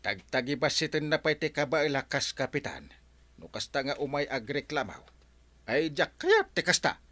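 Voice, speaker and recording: Excitable, wildly swooping Ilocano man. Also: on the high side, normal speed. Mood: complaining. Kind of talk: Filipino radio comedy drama acting.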